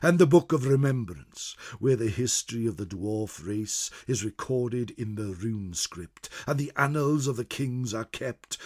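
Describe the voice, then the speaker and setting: A man voicing a venerable old scholar in solemn, theatrical fantasy narration, with a husky voice that swells and drops away. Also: fairly low pitch, a little mellow, heavily acted. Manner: theatrical, solemn, swelling then dropping to whispers. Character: venerable old male scholar chronicler, grave, fearful, awed by ancient lore. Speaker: storyteller. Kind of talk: dramatic in-character fantasy narration.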